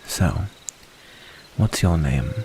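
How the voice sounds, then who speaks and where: A man playing a gentle, curious night-time stranger in an ASMR roleplay, speaking in a soothing, hushed, unhurried way. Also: very deep voice, lively intonation, gravelly, slight background noise, full character voice. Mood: curious, intrigued. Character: gentle, curious male stranger lurking near the mansion.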